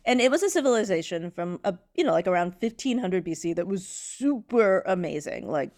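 A woman's voice: on the low side, animated, a little fast, darkish tone. A woman co-hosting a podcast, giving a casual crash course in art history with frequent fillers and self-corrections. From not from India. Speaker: podcast co-host.

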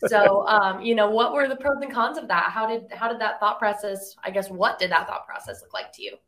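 A woman's voice: medium pitch, brisk, fairly bright tone. A woman from Tennessee hosting a podcast, upbeat and chatty, with a playful teasing edge. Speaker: podcast host. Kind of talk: podcast interview.

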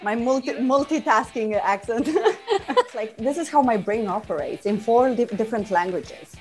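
A woman's voice: fairly high pitch, normal speed, darkish tone, soft background music. A woman from Slovenia, a guest life coach chatting in a bubbly, rambling way on a podcast. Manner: bubbly, rambling, laughs often. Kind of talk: casual podcast chat.

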